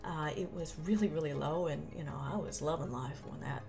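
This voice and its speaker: Female gaming host, lowish gravelly voice, informal commentary, clear music bed underneath. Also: a little fast. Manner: chatty, informal asides and folksy exclamations. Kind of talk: casual explainer commentary on a game update. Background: background music.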